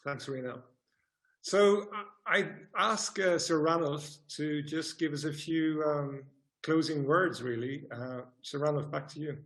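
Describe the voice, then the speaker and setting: Slightly high-voiced male webinar host. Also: fairly bright tone. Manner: hesitant, halting, polite. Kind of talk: informal hosting, introducing speakers.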